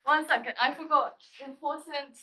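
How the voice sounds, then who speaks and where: A woman's voice: high-pitched, steady tone, moderate pace, sharp tone. A young woman from Thailand giving a live science-writing presentation, nervous and giggly, with a playful manner. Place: lecture room.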